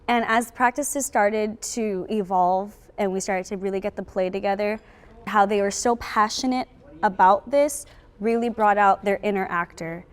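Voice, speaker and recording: A young woman speaks in a reverberant room, her voice at an ordinary female pitch. She gives reflective interview answers with earnest emphasis, like a student performer looking back on a show. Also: normal speed, smooth. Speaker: high school drama cast member. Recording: echoey, long room reverb.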